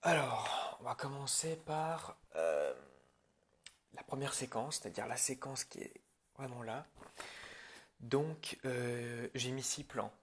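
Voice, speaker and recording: A man's voice: medium pitch, lively intonation, slow. A man speaking informally and hesitantly in an improvised ASMR roleplay, as a film director addressing his crew.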